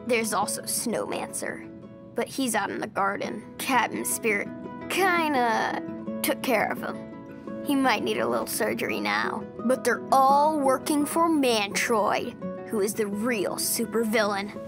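A woman's voice: fairly high pitch, measured pace, creaky, music in the background. An eager young boy. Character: earnest imaginative young boy playing superhero. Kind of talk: video game cutscene acting.

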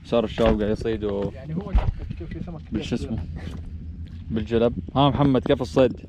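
A man's voice: on the low side, quick pace. A young Saudi man with a Hijazi accent, hosting a casual vlog and teasing his friends out at sea. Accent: Saudi Hijazi Arabic. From Saudi Arabia. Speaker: vlogger host. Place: small boat and sea, outdoors. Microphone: action camera. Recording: outdoor boat noise and wind.

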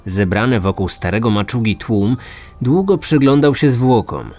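A man's voice: lowish voice, animated, normal speed, a little mellow. A man in his thirties hosting a true crime podcast with scripted narration. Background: music.

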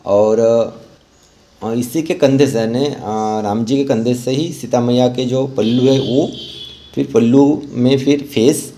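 A man's voice: mid-range voice, fairly even intonation, takes it slowly, warm tone, slight background noise. A man with an Indian accent giving an informal, encouraging step-by-step drawing tutorial as an art teacher. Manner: instructional, encouraging. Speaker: rangoli art teacher and channel host.

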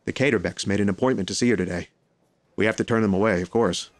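An actor playing a brisk, controlling hospital doctor in an audio drama. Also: male voice, fairly low pitch, close to an everyday voice. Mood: commanding.

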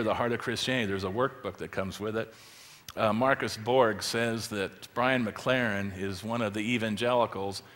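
A man's voice: fairly low pitch, a bit bright. Middle-aged guy preaching on a church stage, casual lecture, rhetorical questions, long hall echo. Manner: conversational, many fillers and rhetorical questions; moves about gesturing with a remote.

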